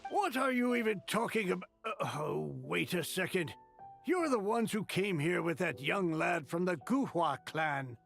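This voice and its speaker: A man voicing a flustered, self-deprecating amateur novelist in a video game, exasperated, sarcastic and theatrical, with a creaky voice. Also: high voice, animated, unhurried, a bit bright, faint music behind, heavily acted. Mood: indignant.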